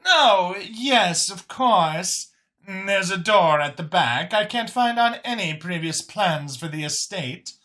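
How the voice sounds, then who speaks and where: Man voicing a bright, lifted, friendly alien woman. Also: high voice, animated, slow pace, voice pushed up, exaggerated voice. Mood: eager. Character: poised, friendly adult alien woman, amused and insistent.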